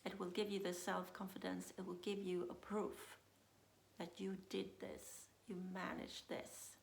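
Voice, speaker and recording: Swedish-accented woman coach, gravelly, echoey room. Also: lowish voice, flat delivery, a little hiss or hum. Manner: unhurried, thinks aloud with many uh fillers and restarts. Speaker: self-leadership coach. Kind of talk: casual talk to camera.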